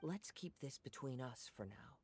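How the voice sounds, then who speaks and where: A man voicing a calm, observant young wandering swordsman in a video game, husky and measured. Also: medium pitch. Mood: measured, thoughtful.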